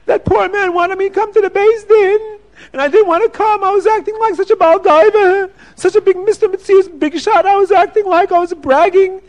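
A man voicing a proud rich man turned desperate prisoner, wailing and pleading in a high, loud voice. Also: little pitch movement, normal speed, smooth, lightly acted. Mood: wailing remorse.

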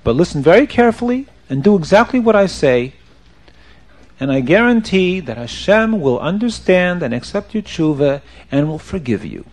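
A man voicing a wise, merciful rabbi in a religious tale, questioning and giving measured, soothing counsel. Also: high-pitched, big pitch swings, darkish tone. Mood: calm, assured. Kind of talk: oral religious storytelling, character voice.

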